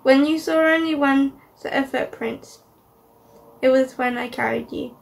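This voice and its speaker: A woman's voice: high-pitched, measured pace, creaky, far from the mic. A teenage girl reciting a poem steadily into a webcam in a bedroom at home. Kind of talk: reading a poem.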